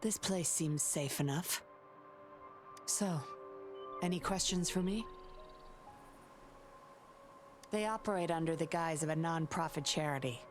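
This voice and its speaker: A woman playing a composed, sly undercover patroller in a video game, coolly confident and measured. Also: sits low, close to an everyday voice. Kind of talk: scripted video game voice acting. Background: game music.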